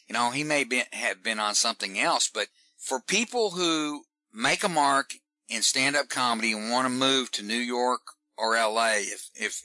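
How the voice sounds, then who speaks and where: A man with a Southern Texan accent, a comedian giving folksy, animated advice in an interview, often repeating words as he starts a sentence. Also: medium pitch, no echo. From Texas. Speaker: stand-up comedian guest. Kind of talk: casual interview talk.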